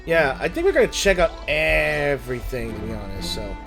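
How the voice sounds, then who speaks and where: A man's voice: fairly high pitch, sing-song, moderate pace, gravelly. A man giving casual gameplay commentary, informally musing to himself. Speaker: gaming video host. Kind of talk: casual gameplay commentary, reading dialogue aloud. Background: faint game music.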